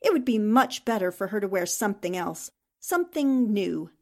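A woman's voice: medium pitch, expressive, normal speed, darkish tone, lightly acted. A woman playing a poised, haughty countess working as a Nazi impostor in a 1940s-style radio drama. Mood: haughty, correcting.

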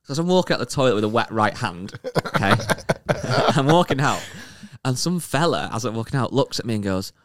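A man's voice: mid-range voice, fairly quick, no room sound, studio quality. A man co-hosting a casual podcast, telling an anecdote with laughter and acted-out quotes. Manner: animated anecdote-telling, acts out quoted voices, laughs often. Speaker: podcast co-host. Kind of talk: casual chat, storytelling.

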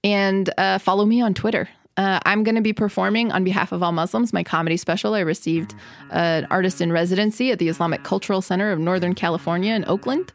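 A woman comedian, a podcast guest who talks in a quick, expressive, jokey way. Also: medium pitch, no room sound, soft background music. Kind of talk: casual podcast chat.

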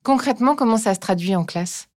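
A woman hosting a podcast, reading a scripted introduction and asking calm, even interview questions. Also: mid-range voice, fairly quick, no echo, studio quality. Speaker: podcast host.

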